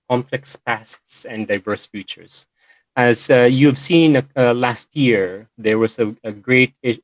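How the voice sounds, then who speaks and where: Guy hosting a video call, lowish voice, lots of uhs and ums, dry sound. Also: dry recording, poor audio. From Philippines. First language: Filipino. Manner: hesitant, many fillers and restarts. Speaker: session host and moderator. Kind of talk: online meeting presentation.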